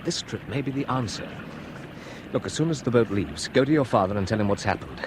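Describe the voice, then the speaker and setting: Actor playing a resolute young hero. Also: male voice, lowish voice, lively intonation, a little fast, noisy background, natural voice. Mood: urgent. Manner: firm, urgent. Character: determined young man named Bob, brave. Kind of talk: 1960s radio drama acting.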